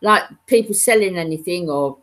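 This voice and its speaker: A woman from the East End of London with a strong East End accent, chatting casually and livelily. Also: moderate pace. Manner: lively, mimics others with high voices. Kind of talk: casual chat.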